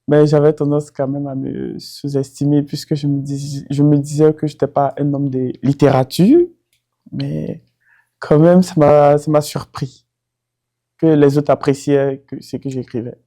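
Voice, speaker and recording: A man's voice: fairly high pitch, flat delivery, a little slow, warm tone. A man from Côte d'Ivoire, a former doctor now studying, giving a personal testimony with hesitant speech and frequent restarts.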